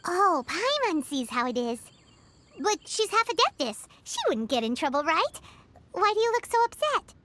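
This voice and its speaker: A woman voicing a tiny, excitable childlike female companion in a video game, squeaky and exclamatory. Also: normal speed, full character voice. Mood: curious, puzzled.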